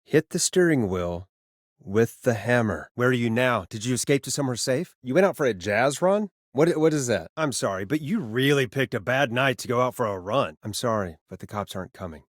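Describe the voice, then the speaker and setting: An actor playing a wry, jaded male radio phone-in host in a video game, sardonic and expressive, moving between showy patter and casual talk. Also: mid-range voice, dry recording, clean studio sound, close to an everyday voice. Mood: urgent, then sorrowful. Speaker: late-night radio host.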